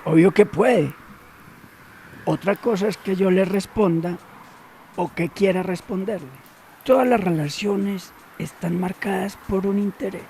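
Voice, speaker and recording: An actor playing a sly, menacing gatekeeper who trades in secrets in an audio drama, speaking in an insinuating, teasing, deliberate way. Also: male voice, sits high, mellow, a little hiss or hum. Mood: guarded, bargaining.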